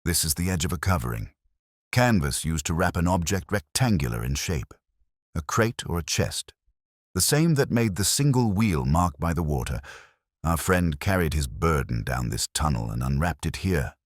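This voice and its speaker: A man acts a grave Victorian detective in an audio drama. He is calm, exact and commanding, with a husky voice that moves expressively up and down, in a very dry recording. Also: extremely low pitch, dry recording, full character voice. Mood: quiet urgency. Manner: measured, quiet, incisive.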